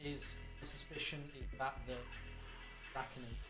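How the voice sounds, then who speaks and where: A man's voice: medium pitch, fairly even intonation, moderate pace, sharp tone, soft background music, low-quality recording. A man co-commentating in casual, speculative chat, offering his points tentatively and in pieces.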